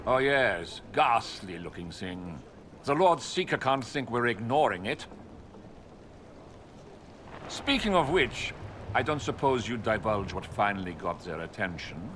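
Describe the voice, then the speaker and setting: An actor playing a pompous, condescending noble envoy in a video game, speaking theatrically. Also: male voice, fairly low pitch, animated, a little slow, a bit bright, a little hiss or hum. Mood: gossipy, sly. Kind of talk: video game dialogue acting.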